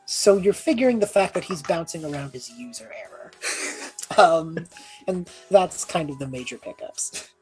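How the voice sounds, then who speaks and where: Low-pitched woman, improvising casually as a tabletop player, explanatory, faint music underneath. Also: lively intonation, moderate pace, darkish tone, poor audio. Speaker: tabletop role-playing game player. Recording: music playing behind the voice. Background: background music.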